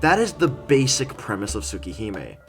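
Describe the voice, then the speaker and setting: A man narrating a scripted review as host, pausing and stressing words for effect. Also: fairly low pitch, wildly swooping pitch, fairly bright tone. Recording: music behind the voice. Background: background music.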